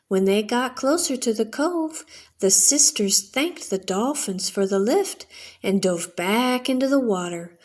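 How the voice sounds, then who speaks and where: A middle-aged woman reading a children's story aloud as a storyteller, in a deliberate, expressive way. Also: on the high side. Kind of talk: bedtime story narration, read aloud.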